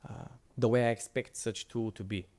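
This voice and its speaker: A man's voice: lowish voice, fairly even intonation, normal speed, dark tone. Man giving a conference talk. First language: Bulgarian. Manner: loose, conversational, with frequent restarts. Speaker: conference speaker. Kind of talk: conference presentation with Q&A.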